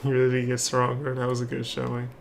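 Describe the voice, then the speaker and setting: A man's voice: medium pitch, monotone, quick pace, gravelly, background noise. A man streaming a game with casual commentary, reacting aloud as he plays.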